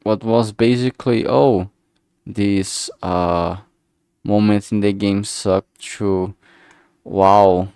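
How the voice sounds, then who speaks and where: A man with a lowish, gravelly voice talks casually to a livestream while he works. He rambles and thinks aloud in halting bits, taking his time. The sound is close and dry. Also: darkish tone, dry recording. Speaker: livestreamer making a visual novel. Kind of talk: casual work-along livestream chat.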